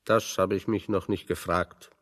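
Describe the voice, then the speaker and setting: Radio play: wry exiled intellectual, lowish voice, discursive and pointedly ironic. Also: male voice, fairly even intonation, unhurried, fairly bright tone. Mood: wry, musing. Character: wry, pessimistic intellectual man in exile, fond of lecturing. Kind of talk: German radio drama dialogue.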